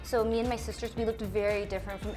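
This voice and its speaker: A woman's voice: mid-range voice, flat delivery, quick pace, over music. A young American woman chatting casually and expressively about herself, with a bubbly, giggly manner. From United States, suburban Seattle area. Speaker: guest in a paired conversation video. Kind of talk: casual chat, personal storytelling.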